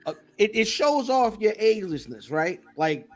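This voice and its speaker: Man, podcast host, playful teasing, high voice, casual banter. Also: sing-song, normal speed. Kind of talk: casual chat, joking interviewer.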